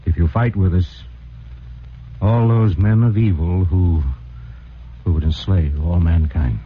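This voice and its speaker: A deep-voiced, solemn editor. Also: male voice, a little slow, slight background noise. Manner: warm, solemn and reassuring. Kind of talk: 1940s radio acting. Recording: tinny old radio broadcast.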